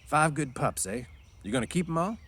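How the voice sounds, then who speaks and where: Guy doing a big loud ranch hand, blunt and rough, voice pushed up high. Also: animated, fairly quick. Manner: blunt, rough-spoken, chuckling.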